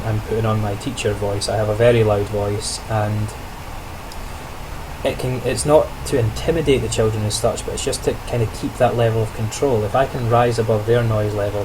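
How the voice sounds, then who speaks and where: A man's voice: lowish voice, background noise. A young man, a trainee teacher, talking through his day in a casual video diary, chatty and reflective with frequent self-corrections and small laughs.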